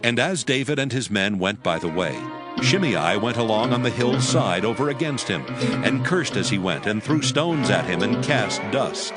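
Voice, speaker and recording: A man's voice: medium pitch, lively intonation, normal speed. A man narrating scripture from a script. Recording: music in background.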